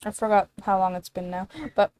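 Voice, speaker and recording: A woman's voice: mid-range voice, little pitch movement, brisk, a little mellow, clean tone. A woman game streamer chatting casually on a livestream in a rambling, giggly way.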